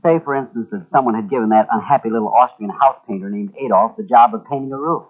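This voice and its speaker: A man's voice: medium pitch, quick pace. A man hosting a 1940s radio drama with scripted banter. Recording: tinny old radio recording.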